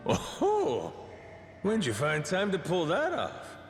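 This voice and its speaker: A man voicing a brash, big-hearted pirate captain in a video game, blunt, casual and expressive. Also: sits high, slow pace, heavily acted. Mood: touched. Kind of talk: scripted video game cutscene acting. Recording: echoey cave-like hall with faint music.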